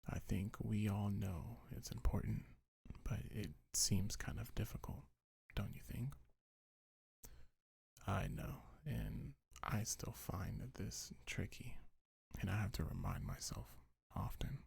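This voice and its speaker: A man speaking gentle, soothing ASMR affirmations in a breathy, husky half-whisper, unhurried and close to the microphone. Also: on the low side, expressive, no echo. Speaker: ASMR creator. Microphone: close microphone, dry.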